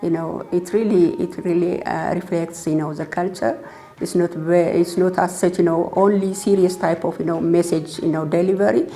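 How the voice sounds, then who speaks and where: A woman giving an interview testimonial in halting, repetitive phrases. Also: fairly low pitch, flat delivery, dark tone. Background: faint music.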